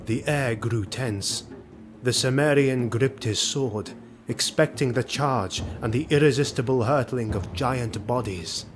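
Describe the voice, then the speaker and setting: A man narrating a fantasy audio drama in a measured, suspenseful scripted style. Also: mid-range voice. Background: music.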